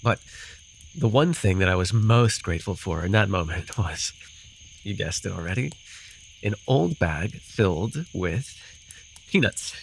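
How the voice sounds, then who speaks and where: A man's voice: low-pitched, animated, measured pace, gravelly, pauses often, heavily acted. A man acting a rambling, amused forest fae in a fantasy ASMR roleplay, telling his tale with hesitant, apologetic asides. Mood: amused storytelling. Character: rambling, amused male forest-dwelling fae storyteller with bird companion. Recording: noisy with cricket and insect ambience. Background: night forest ambience with crickets and insects.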